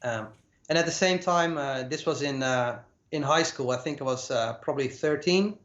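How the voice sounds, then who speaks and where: A man's voice: medium pitch, moderate pace, a bit bright. Man, late 20s, Dutch accent, hesitant, lots of fillers, roomy echo, muffled lo-fi sound. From Netherlands. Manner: hesitant, many uh fillers and repeated words. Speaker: guest compositing artist. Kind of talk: casual talk about his career. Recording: echoey, dull lo-fi sound.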